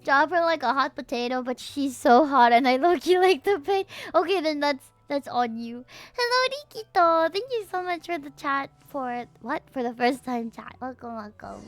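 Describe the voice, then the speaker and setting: A woman's voice: high-pitched, animated, normal speed, few pauses, no room sound. A woman with a Filipino accent chatting casually and playfully as she streams a game and reacts to her viewers. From Philippines. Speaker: livestreamer. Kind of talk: casual livestream chat over a game, switching to reading the screen. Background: music.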